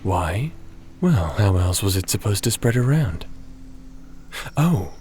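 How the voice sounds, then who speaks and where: Man acting a slow, deep, gentle fantasy companion. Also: big pitch swings. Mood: reflective, explaining. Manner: measured, soothing, slightly formal, sometimes breathy near-whisper. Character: Tyr, gentle, cautious, deliberate male fantasy companion. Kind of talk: ASMR fantasy roleplay, addressing the listener. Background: music.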